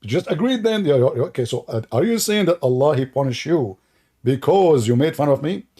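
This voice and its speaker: Arabic-accented guy on a live stream, mellow husky voice, joking and mocking. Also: a little high, expressive, moderate pace. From Middle East. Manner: sarcastic, teasing, jokey.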